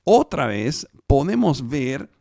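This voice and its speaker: A man teaching a live online Bible study in Spanish, which is not his first language, explaining scripture step by step and pausing on fillers. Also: mid-range voice, big pitch swings, vocal fry, warm tone, no echo, studio quality. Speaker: preacher.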